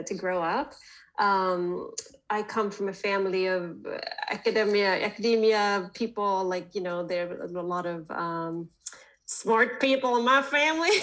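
A woman from Sweden, chatting as a guest on a podcast in a relaxed, rambling way. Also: lowish voice, low-quality recording. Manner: relaxed, chatty, frequent fillers and laughs. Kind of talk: casual chat.

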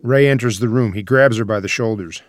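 A man's voice: lowish voice, fairly quick, no echo. A man reading out screenplay scene headings and stage directions as a scripted narrator.

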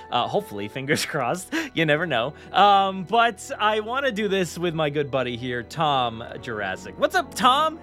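A man's voice: medium pitch, a bit bright. A man hosting a podcast in a casual, enthusiastic, chatty and informal way. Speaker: podcast host.

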